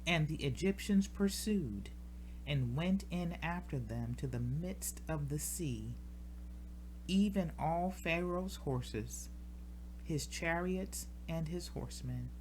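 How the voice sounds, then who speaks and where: Woman, scripture reader, slow measured delivery, solemn phrasing, deliberate pauses, faint noise behind. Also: sits low, animated, a little mellow, poor audio. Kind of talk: solemn scripture reading from the King James Bible.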